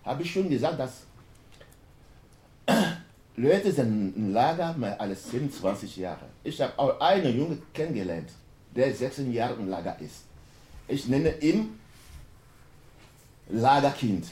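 A man speaks haltingly on a panel, often restarting mid-word in a language that is not his first. His voice is dark and mellow yet swings widely in pitch. The hall around him adds a roomy echo. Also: sing-song. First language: not German. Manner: halting, broken German with many restarts. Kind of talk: panel discussion talk. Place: university lecture hall.

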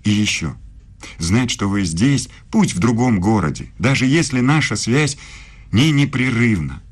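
An actor in a radio play voicing a self-assured, evasive German philosophy professor who speaks in a measured, pontificating way. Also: male voice, mid-range voice, lively intonation, slightly rough. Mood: insistent.

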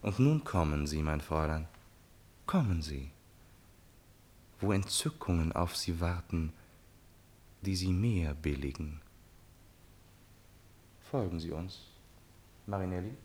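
An actor playing an amorous, courtly prince in a classical radio drama, florid, pleading and smoothly persuasive. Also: male voice, low-pitched, expressive, slow, long pauses, darkish tone, exaggerated voice. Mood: ardent, pleading. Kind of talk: classical German stage-play radio acting.

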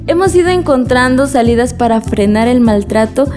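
A supportive young activist played by an actress speaks in a high voice. It is a radio drama, with music clearly playing behind her. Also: lively intonation, normal speed, close to an everyday voice. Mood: earnest.

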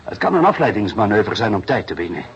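An actor playing a patient, fatherly, wry police detective in a Dutch radio play, speaking in deliberate, musing phrases. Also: lowish voice, big pitch swings. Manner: deliberate, hesitant musing with repeated assents. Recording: muffled radio drama recording.

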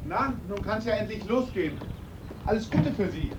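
A man playing an obliging, brisk police sergeant in a German radio drama, speaking politely and officially. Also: sits high, lively intonation, lo-fi sound, heavy background noise. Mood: official, friendly.

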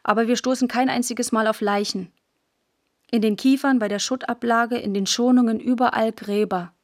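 An actress plays a postwar schoolgirl narrating a radio play. Her voice is fairly high and recorded close and dry. She tells things plainly and vividly, in short matter-of-fact phrases. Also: steady tone, moderate pace, dry recording, natural voice. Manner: plain, clipped, vivid storytelling. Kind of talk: first-person scripted radio drama narration.

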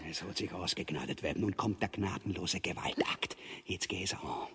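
An actor playing a grotesque, pompous, cowardly and bullying tyrant in an Austrian-dialect radio play, swinging between muttering and bellowing with a husky voice. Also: male voice, low voice, normal speed, bright, forceful tone, whispered, heavily acted. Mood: gloating, cruel. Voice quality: gravelly, husky. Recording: long reverberant hall echo.

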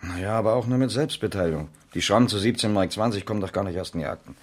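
A man playing a by-the-book police investigator in a radio crime play. The voice is low, the delivery dry and official, with a grumbling undertone of duty. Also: fast, lightly acted. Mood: irritated, grumbling. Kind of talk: naturalistic 1970s German radio crime drama acting.

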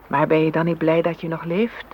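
A man's voice: fairly high pitch, completely flat delivery, fairly quick, highs cut off, close to an everyday voice. A man playing a wistful, anxious flowing stream in a poetic radio play. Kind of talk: Dutch radio play acting. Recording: noisy old radio play recording.

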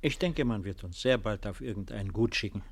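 An actor playing a worried, soft-spoken refugee in a 1950s German radio play, restrained and polite. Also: male voice, fairly low pitch, animated, a little fast, gravelly. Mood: soothing, evasive. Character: worried, soft-spoken male refugee visiting his injured brother.

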